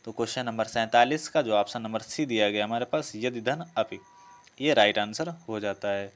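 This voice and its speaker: A man's voice: lowish voice, moderate pace. A man teaching a test-prep lesson, working through questions didactically and repeating key phrases. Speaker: exam coaching teacher.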